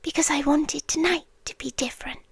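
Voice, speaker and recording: A British woman playing an obsessive, possessive girlfriend in an ASMR roleplay, whispering softly and intimately close to the microphone. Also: high-pitched, steady tone, dry recording. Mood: possessive, soft menace. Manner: slow, breathy, intimate whispering mixed with soft voice. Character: obsessive, possessive yandere girlfriend lurking under the bed. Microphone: close, dry microphone.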